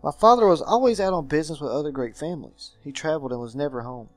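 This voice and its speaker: A man voicing a brooding gentleman guardian in a game, telling his story in a formal, melancholy manner. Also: a little high, fast, dry recording, faint music behind, lightly acted. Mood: regretful.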